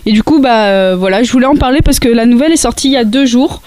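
Higher-voiced woman rambling on a podcast, lots of ums and restarts, faint hiss behind. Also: quick pace, darkish tone. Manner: hesitant, many fillers and restarts. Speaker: podcast columnist on cosplay. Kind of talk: casual podcast chat.

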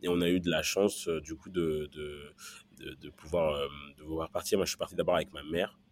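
A man with a very deep voice speaks as an interview guest. He tells a personal story haltingly, repeating words while he looks for the right phrasing. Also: fairly even intonation. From born in Central Africa, raised in Belgium from early childhood. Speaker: podcast guest.

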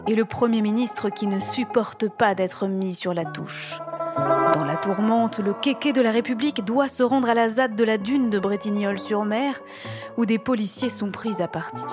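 A woman's voice: mid-range voice, normal speed, loud music behind the voice. A woman narrating a satirical fiction in a dramatic, scripted style.

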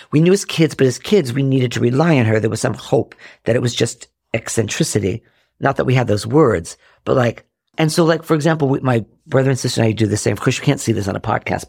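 A man in his forties from Rhode Island, a podcast guest telling candid stories, acting out family dialogue in lively bursts. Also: medium pitch, gravelly, slightly rough, dry recording, clean studio sound. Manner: animated, acts out quoted family dialogue. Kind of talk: candid storytelling in conversation.

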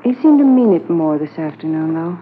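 A woman's voice: low-pitched, animated, a little fast. A woman playing a wistful, anxious but affectionate policeman's wife in a reflective, conversational 1950s radio drama style. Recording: muffled noisy 1950s radio transcription.

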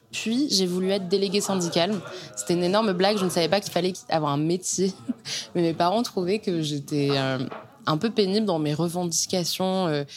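A young woman from Normandy talks in a low, chatty voice as a podcast guest. Her speech is informal and loose, with frequent fillers and restarts. She is recorded in a bar laid out like a home. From Normandy, France. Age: young adult. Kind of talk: casual interview talk. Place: converted house bar, in a bathtub.

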